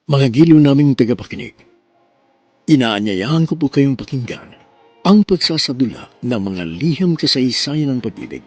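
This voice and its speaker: A man's voice: mid-range voice, darkish tone, breathy edge, no room sound. A man hosting and narrating a radio drama, speaking formally, earnestly and politely.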